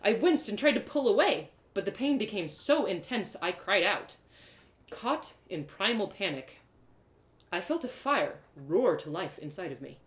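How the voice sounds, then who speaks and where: A woman reading a first-person fantasy story aloud in expressive storybook narration. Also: mid-range voice, unhurried. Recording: roomy echo.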